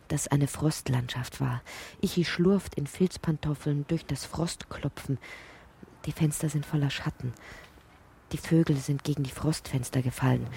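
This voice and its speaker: An actress playing a dreamy literary narrator in an audio drama, musing in repetitive, incantatory poetic fragments. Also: female voice, deep voice, gravelly, warm tone, breathy edge, exaggerated voice. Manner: incantatory, repeats phrases.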